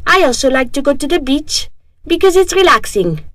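A woman reading a scripted self-introduction for a language lesson, slow and carefully enunciated. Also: high voice, animated, fairly bright tone, no echo, clean studio sound. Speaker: dialogue reader.

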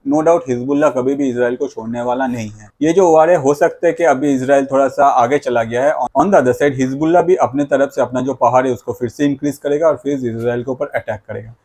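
A man's voice: mid-range voice, fairly quick, hardly any pauses, darkish tone. A man, explaining news to camera in an explanatory way, mixing in English phrases. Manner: explanatory, repetitive catchphrases with English phrases mixed in. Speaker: video creator, host. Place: small plain indoor room. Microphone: lapel mic.

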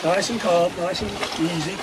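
A man's voice: high-pitched, fairly even intonation, moderate pace. A man chatting casually about sailing conditions while aboard a sailboat at sea. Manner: chatty, matter-of-fact sailing commentary. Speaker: vlogger, sailor. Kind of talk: casual chat. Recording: wind and water noise.

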